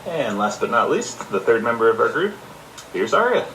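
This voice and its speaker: A man's voice: medium pitch, very animated, moderate pace, a bit bright, a little hiss or hum. Upbeat man interviewer, a bit distant. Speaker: podcast interviewer. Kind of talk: casual interview.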